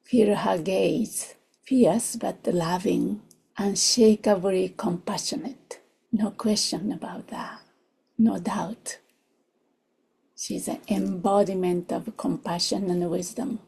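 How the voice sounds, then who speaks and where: A woman who is not a native English speaker leads a guided meditation in a soft, gentle voice, in short unhurried phrases that often drop toward a whisper. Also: mid-range voice, vocal fry, breathy edge. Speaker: meditation teacher. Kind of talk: guided meditation, slow and soothing.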